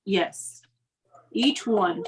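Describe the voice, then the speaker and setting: A woman's voice: mid-range voice, drawn-out words, breathy edge. A woman in her fifties joining a sewing livestream, chatting and cheering on the host. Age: 50s. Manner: encouraging, laughs easily. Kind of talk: casual chat. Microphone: phone.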